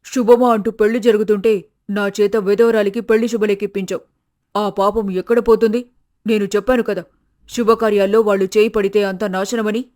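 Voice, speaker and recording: A man voicing a superstitious, sharp-tongued middle-aged mother who complains, in an animated moral story. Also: high-pitched, fairly quick, natural voice. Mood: accusing.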